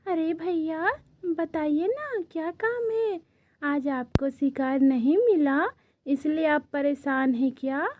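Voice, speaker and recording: A woman plays a young sister crow in a children's cartoon. She is trusting and dutiful, with a very high, sweet, slow voice on a dry, slightly muffled track. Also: noisy background. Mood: concerned. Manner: sweet, concerned, pleading. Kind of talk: Hindi children's cartoon dialogue.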